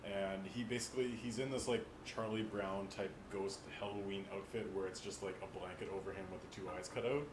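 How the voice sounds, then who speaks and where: A man's voice: lowish voice, steady tone, brisk, few pauses. A man on a podcast panel, chatting casually and explaining films in an earnest, rambling way. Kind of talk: casual chat about films. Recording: echoey room, clear background noise.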